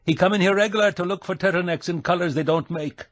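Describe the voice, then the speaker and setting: A man's voice: high-pitched, quick pace, dry recording, pitched above the performer's own voice, natural voice. A man voicing a chatty, gossipy male shopkeeper with a put-on Scottish brogue. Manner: teasing, gossipy, rolling brogue.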